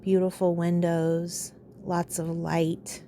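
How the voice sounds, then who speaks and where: A woman leading a guided meditation in a calm, soothing, unhurried way, pausing between phrases. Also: lowish voice, a little hiss or hum.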